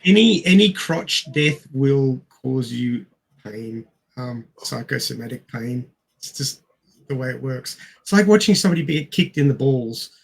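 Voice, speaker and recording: Man, film podcast co-host, casual and plain-spoken, husky with plenty of vocal fry. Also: medium pitch, animated, unhurried.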